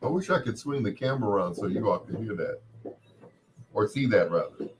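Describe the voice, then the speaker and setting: Deep-voiced radio host, booming. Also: male voice, expressive, a little fast. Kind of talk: late-night radio chat. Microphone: studio mic with processor. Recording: noisy background with booming fireworks. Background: firecrackers booming.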